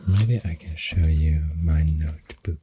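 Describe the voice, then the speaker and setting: Very low hushed ASMR voice, Swedish accent, heavily breathy and whispered, slow, soft-spoken, close and dry. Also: a little hiss or hum. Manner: hushed, unhurried, gentle rambling with pauses. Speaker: ASMR host. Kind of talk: soft-spoken ASMR, rambling facts while drawing. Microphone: close microphone. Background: pencil writing and clicking sounds.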